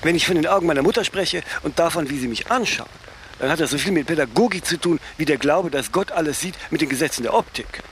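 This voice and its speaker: An actor playing an imperious, self-mythologizing older fashion designer in a German radio play, speaking haughtily in aphorisms and tagging sentences with "ne?". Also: male voice, on the high side, animated, fast, a bit bright, a little hiss or hum. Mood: imperious, dismissive.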